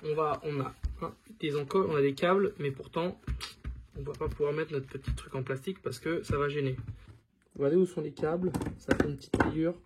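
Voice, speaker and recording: A man's voice: on the high side, steady tone, a little fast, slight background noise. A man presenting a product in a casual hands-on tutorial, informal and thinking aloud as he goes.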